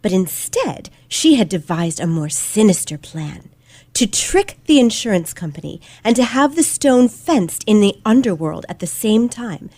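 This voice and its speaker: A woman playing a confident, sharp teenage girl detective in an old-fashioned radio play, with precise, theatrical delivery. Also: mid-range voice, big pitch swings, measured pace, dry recording. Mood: explaining, relishing. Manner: theatrical, precise, dramatic emphasis.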